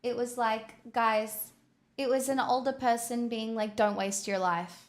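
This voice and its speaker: A woman's voice: on the high side, fairly even intonation, unhurried. A young woman streamer chatting casually and rambling to her viewers. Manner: chatty, rambling, talks to chat.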